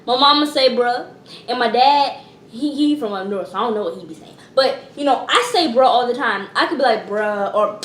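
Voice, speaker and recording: A teenage girl from Georgia, telling a school story in a loud, expressive, slangy way. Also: on the high side, normal speed, roomy sound, slight background noise. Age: teens. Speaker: vlogger. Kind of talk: casual storytime.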